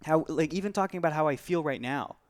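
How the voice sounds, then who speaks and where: A man with a fairly high-pitched voice talks casually as a review panelist. He is emphatic, leans on rhetorical questions and often repeats himself for effect. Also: quick pace. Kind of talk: casual chat.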